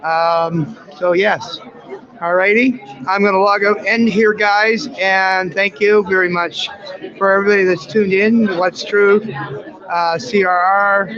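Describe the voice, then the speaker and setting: A hoarse man livestreaming outdoors as an independent journalist, rambling with many fillers and restarts. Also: high-pitched, fairly even intonation, measured pace. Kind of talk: casual live stream commentary. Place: outdoors among a crowd near a press conference. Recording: muffled phone audio with loud crowd.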